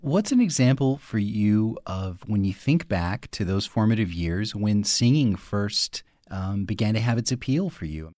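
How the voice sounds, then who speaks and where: A man's voice: on the low side, expressive, a little slow, hardly any pauses, darkish tone, no room sound, studio quality. A man hosting a radio interview, asking curious, conversational questions.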